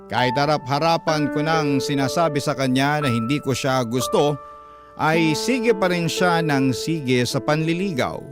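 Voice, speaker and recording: A man's voice: mid-range voice, close to an everyday voice. A man playing a letter writer who politely confides a school-days love story as a first-person radio drama narrator. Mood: regretful. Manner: confessional, polite. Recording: background music under narration.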